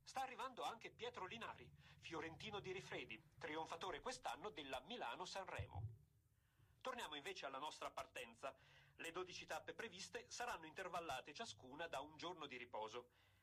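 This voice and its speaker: An actor playing an emphatic 1920s radio commentator who announces a bicycle race in a formal, declamatory way. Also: male voice, fairly high pitch, animated, moderate pace, sharp tone, slightly rough, exaggerated voice. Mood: proud, announcing. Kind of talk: period sports commentary, theatrical radio acting.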